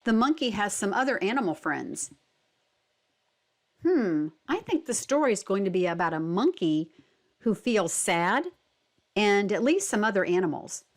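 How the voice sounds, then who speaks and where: A woman giving instructional narration and reading a picture book for children, expressive and deliberate, with prompting questions. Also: mid-range voice. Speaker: read-aloud instructor.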